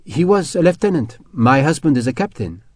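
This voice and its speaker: A man's voice: on the high side, big pitch swings, a little fast, dry recording, studio quality. Man voicing a shaken Frenchwoman with an accent. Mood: tearful. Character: shaken, tearful, bitter young Frenchwoman.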